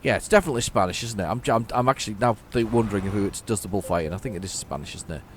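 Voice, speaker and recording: A man's voice: lowish voice, racing, slight background noise. A man giving casual, rambling gameplay commentary, often correcting himself. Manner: rambling, self-correcting, chatty. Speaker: gaming channel host.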